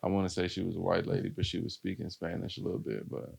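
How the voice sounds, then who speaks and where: A man's voice: sits low, little pitch movement, quick pace, vocal fry, a little mellow, husky, no echo. A man co-hosting a podcast in casual banter, with a joking, blunt, slangy way of talking. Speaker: podcast co-host.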